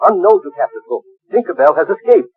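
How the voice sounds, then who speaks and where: An actor playing an urgent, earnest storybook narrator, delivering dramatic scripted narration for a radio play. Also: male voice, high-pitched, big pitch swings, quick pace, phone-quality sound.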